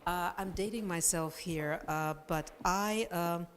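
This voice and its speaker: A woman's voice: on the low side, slow pace, slight background noise. A middle-aged woman from Bulgaria with a Bulgarian accent, a journalism professor and former news anchor, telling her career story to a class with frequent uh and um fillers. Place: college classroom.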